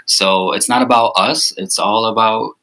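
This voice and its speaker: A man with a low voice, a podcast guest talking earnestly and making an effort to speak up. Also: fairly even intonation, measured pace, pushed and bright. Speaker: guest, ministry co-founder. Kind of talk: casual podcast conversation.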